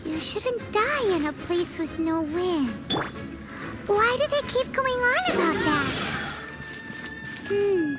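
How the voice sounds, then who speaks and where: A woman's voice: lively intonation, slow, long pauses, heavily acted. A woman voicing a tiny childlike fairy companion in a video game, cheeky, blunt and easily exasperated. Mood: puzzled. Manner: squeaky, blunt, complaining. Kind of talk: cartoonish video game voice acting. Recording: loud background music under dialogue.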